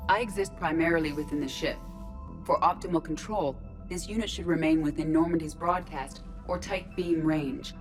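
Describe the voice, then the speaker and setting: A woman plays an artificial intelligence speaking through a female robot body in a video game. The voice is low and controlled, with precise, formal, emotionless phrasing. Faint music plays behind the words. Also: normal speed, lightly acted. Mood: calm, analytical.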